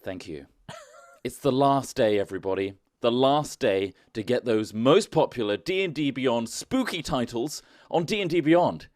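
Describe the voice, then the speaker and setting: A man's voice: medium pitch, lively intonation, moderate pace, a little mellow, dry recording, studio quality. A man doing a comic sponsor read in a mock-salesy voice, dropping into stage-whisper asides. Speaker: player reading sponsor ads.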